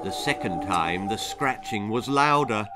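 A man in his sixties reading a children's story aloud in a theatrical, suspenseful, deliberate narration. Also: lowish voice, expressive, over loud music. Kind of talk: dramatic storytelling, scripted narration. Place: small book-lined room indoors.

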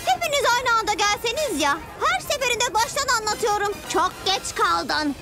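A woman's voice: very high-pitched, sing-song, exaggerated voice. A woman voicing a boastful, scheming boy inventor villain in a dubbed children's cartoon, taunting and drawing out the words. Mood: gloating. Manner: taunting, gloating, drawn-out delivery. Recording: loud cartoon music behind.

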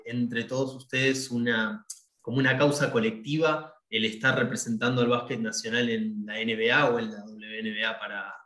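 A man's voice: mid-range voice, a bit bright. A man asks his question in a casual, hesitant way. He sounds like he is in an echoey room. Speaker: reporter.

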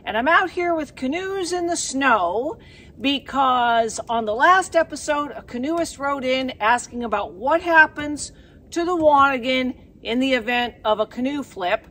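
A woman's voice: high-pitched, lively intonation, unhurried, sharp tone. A woman who is a wilderness guide and show host, casually showing gear outdoors in the snow and telling a story in a plainspoken, folksy way. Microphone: camera mic, handheld at times.

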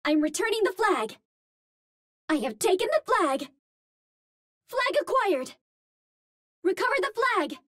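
A woman's voice: very high voice, sing-song, slow pace, long pauses, a bit bright, dry recording, clean studio sound, heavily acted. An actress playing a cute, naive young Martian space healer, speaking in a sweet, girlish, precise and formal way. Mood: earnest, alert.

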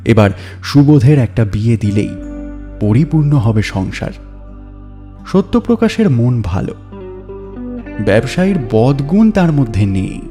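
A male storyteller with a mellow, dark voice reads at a very unhurried pace. His pitch moves expressively through dramatic, atmospheric narration with measured pauses, over faint music. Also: big pitch swings.

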